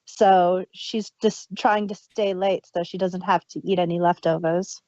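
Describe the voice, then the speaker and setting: A woman's voice: on the low side, fairly even intonation, a little slow, a little mellow, dry recording, lo-fi sound. A woman co-hosting a casual review chat, recounting story details at length. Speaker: podcast co-host.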